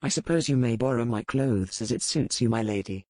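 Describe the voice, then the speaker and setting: A woman's voice: rumbling low, brisk, a little mellow, no echo, studio quality, voice pushed down. A woman voicing a curt, proud, menacing pirate lord with formal, archaic phrasing in an audiobook. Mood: stern. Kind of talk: audiobook character dialogue.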